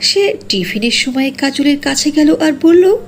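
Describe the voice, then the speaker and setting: A woman's voice: sits high, lively intonation, unhurried. A woman narrating a scripted cartoon fairy tale.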